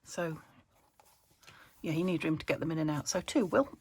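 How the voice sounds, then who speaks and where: A middle-aged woman with a croaky voice from a cold, chatting casually while she crafts and asking little tag questions. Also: low voice, normal speed, a little mellow. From England. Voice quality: gravelly. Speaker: craft vlogger. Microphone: phone camera.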